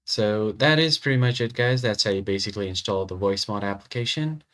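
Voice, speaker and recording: Man with a lowish voice giving tutorial. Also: a little fast, fairly bright tone. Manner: informal, instructional. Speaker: tutorial host. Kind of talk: step-by-step software tutorial narration.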